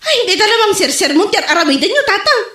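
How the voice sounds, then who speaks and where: A woman's voice: extremely high pitch, very animated, fast talker, pushed and bright, reverberant, exaggerated voice. A woman with an Ilocano accent playing a cheeky child in a comedy drama. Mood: indignant.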